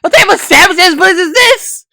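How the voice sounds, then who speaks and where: A man giving casual, excitable reaction commentary with slangy, profane talk. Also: very high voice, moderate pace, no room sound, clean studio sound.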